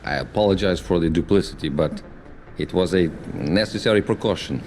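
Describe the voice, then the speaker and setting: Deep-voiced, slippery secret agent. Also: male voice, fairly quick, a little mellow, slight background noise. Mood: wry, polite. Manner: wry, politely evasive. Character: smooth duplicitous male secret agent, wry, evasive. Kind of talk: video game dramatic acting.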